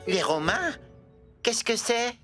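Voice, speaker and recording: A voice actor playing a cheerful, slow-witted construction machine in a French cartoon dub. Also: mid-range voice, very animated, heavily acted. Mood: simple. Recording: cartoon soundtrack with loud music.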